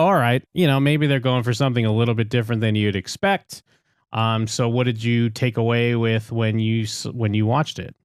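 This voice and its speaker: A man's voice: medium pitch, clean tone, no echo, clean studio sound. A man co-hosting a podcast, chatting loosely about a trailer with lots of fillers and the odd pun. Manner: loose, filler-heavy, playful puns. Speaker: podcast co-host. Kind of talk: casual TV review chat.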